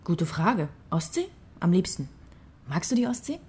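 A woman's voice: on the low side, sing-song, moderate pace, darkish tone, slightly rough, heavily acted. An actress playing a curious East German girlfriend in a radio drama, casual, playful and probing. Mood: tentative, casual.

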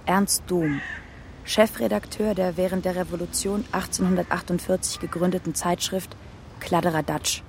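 An actor playing a man who reads out a biographical play outline as gravelly scripted narration in a radio drama. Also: sits high, fairly even intonation, normal speed, a little hiss or hum. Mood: declamatory.

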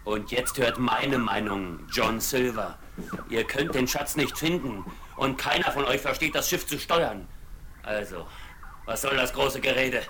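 An actor playing a stern, curt ship's captain giving orders in a 1970s radio play, recorded on old vinyl. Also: male voice, medium pitch, measured pace, noisy background, close to an everyday voice. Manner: curt, commanding, gives orders.

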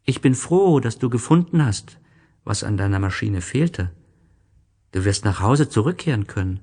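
A man voicing a small boy prince, earnest, gentle and wistful, with solemn childlike phrasing in a literary radio play. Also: on the low side, lively intonation, moderate pace, breathy edge. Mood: tender, wistful. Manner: solemn, childlike questioning and reassurance.

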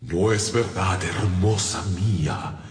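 A low, ardent voice rings with long reverb, a romantic stage lover declaiming verse. The delivery is theatrical and passionate, made for a radio play. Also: male voice, fairly even intonation, takes it slowly, faint music behind.

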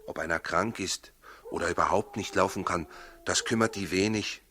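An actor playing a practical, worried husband in a 1960s German radio play, plain-spoken and gruffly reassuring, with a husky voice. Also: male voice, deep voice, moderate pace, sharp tone. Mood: resigned, gruff.

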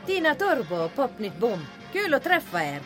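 Woman playing a lively, sardonic barkeeper. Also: on the high side. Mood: welcoming. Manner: dry, bossy, teasing. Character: shrewd, sardonic female bar owner secretly aiding resistance. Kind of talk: comedic audio drama acting. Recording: music and crowd chatter behind voice. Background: bar crowd and music.

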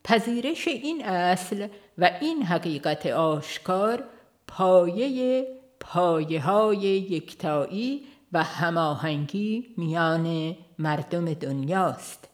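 A woman reading a religious audiobook in a formal, measured way, as a scripted narration. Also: lowish voice, expressive.

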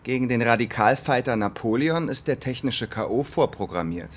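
An actor playing a grandiose history narrator in a radio play, delivering scripted narration with dramatic relish. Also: male voice, medium pitch, animated, moderate pace, natural voice. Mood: dramatic, wry. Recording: loud music under the voice. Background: music.

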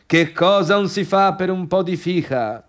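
An actor playing a bitter, sarcastic failed pianist turned music critic in a theatrical radio monologue, complaining colloquially in a Tuscan accent. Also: male voice, sits high, unhurried. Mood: grumbling, exasperated. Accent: Tuscan Italian.

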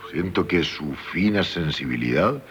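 Very deep, halting, tormented gentleman. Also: lively intonation, slight background noise. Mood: courteous, wounded. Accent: Castilian Spanish. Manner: halting, stammering, repeating words. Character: dissolute, guilt-ridden, passionate gentleman in love. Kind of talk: 1970s radio theatre acting. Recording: 1970s radio drama with faint music.

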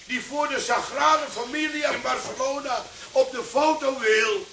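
A man's voice: very high-pitched, normal speed, echoey room, crowd noise, poor audio. An actor playing a blustering, flustered old father in a radio drama. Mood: impatient.

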